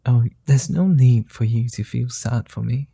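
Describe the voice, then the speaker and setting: A man voicing a soft gravelly vampire. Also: medium pitch, dry recording. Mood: tender. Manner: soft, slow, flirty and teasing. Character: gentle flirtatious male immortal vampire. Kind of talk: ASMR roleplay.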